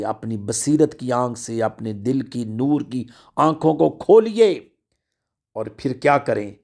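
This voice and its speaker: A male Islamic preacher with a heavily creaky, gravelly voice at a middling pitch. He speaks slowly and deliberately, exhorting listeners with emphatic oratory and repeating key phrases for weight. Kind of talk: religious sermon with recited citations.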